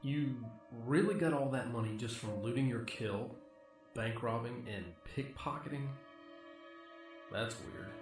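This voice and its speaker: In echoey, distant sound with music behind, a man plays a courteous, probing interviewer for a radio play. He speaks politely and a little hesitantly at a middle pitch. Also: a little slow, close to an everyday voice. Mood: curious, guarded. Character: polite, probing male interviewer visiting a killer. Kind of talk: amateur radio play acting. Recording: roomy, distant-mic sound under loud music.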